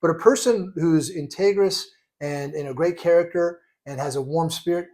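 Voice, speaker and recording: A man's voice: fairly high pitch, moderate pace, a little mellow. A man co-hosting a casual chat, earnestly clarifying points and often restarting his sentences. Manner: earnest, stresses being clear, restarts often.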